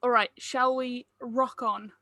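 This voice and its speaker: A woman's voice: on the high side, steady tone, slow, sharp tone. A woman giving casual, encouraging feedback as she runs a table read. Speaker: director running the table read.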